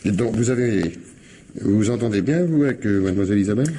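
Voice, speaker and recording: A deep, animated police commissioner in a radio mystery. He probes with sly irony, stumbling and repeating himself as he questions. There is some noise behind the voice. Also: fast. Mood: probing, bemused. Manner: hesitant, repeats himself, muttering interjections. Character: folksy, probing male police commissioner, slyly ironic. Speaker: actor in a radio mystery play. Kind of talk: French radio mystery drama acting. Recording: radio drama with audible background noise.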